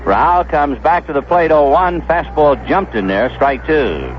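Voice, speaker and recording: An American man calling baseball play-by-play on the radio, conversational and descriptive, filling in stories between pitches. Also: big pitch swings, measured pace. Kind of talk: play-by-play commentary. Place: ballpark broadcast booth. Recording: dull, noisy vintage 1970s radio broadcast.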